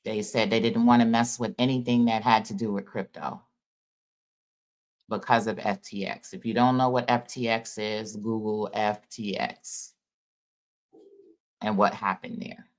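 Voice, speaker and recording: A man's voice: lowish voice, little pitch movement, measured pace, pauses often. A man giving a loose, rambling spiritual talk to his viewers in an informal, folksy way. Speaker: spiritual vlogger. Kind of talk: casual spiritual monologue.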